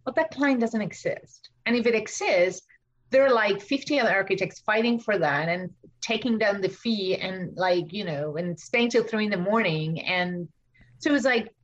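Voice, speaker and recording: Argentine woman architect, halting and chatty. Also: medium pitch, muffled recording. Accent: Argentine Spanish. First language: Spanish. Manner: thinks aloud with many fillers and restarts. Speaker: architect and author. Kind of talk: podcast interview. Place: home studio in Washington DC.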